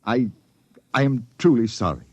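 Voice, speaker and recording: An actor in a 1950s radio drama playing a desperate, contrite doctor, pleading earnestly on an old recording. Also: male voice, mid-range voice, expressive, normal speed, slightly rough, dry recording, muffled recording. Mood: remorseful pleading.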